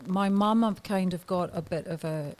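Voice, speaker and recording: A woman's voice: lowish voice, moderate pace, darkish tone, slight background noise. A woman in her thirties, a podcast guest talking candidly and hesitantly, with frequent ums and laughs. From Scotland. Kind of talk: podcast interview.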